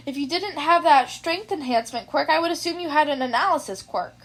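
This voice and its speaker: A woman voicing a playful, excitable, teasing teenage boy with a casual manner, in a story reading. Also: sits high, brisk. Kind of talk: fan fiction audiobook character voice.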